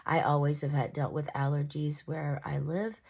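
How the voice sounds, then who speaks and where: A woman's voice: low-pitched, little pitch movement, moderate pace, creaky, darkish tone, husky. A congested, nasal woman giving a casual, meandering tarot reading. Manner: meandering, reassuring, with fillers and restarts. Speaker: tarot reader.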